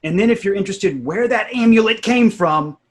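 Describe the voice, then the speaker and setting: A man's voice: high voice, animated, brisk, slight background noise. A man chatting casually as a podcast co-host. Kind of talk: casual chat.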